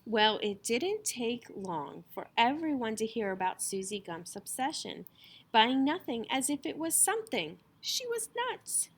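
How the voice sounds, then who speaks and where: A woman reading a children's story aloud as an expressive storyteller. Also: a little high, unhurried, slight background noise.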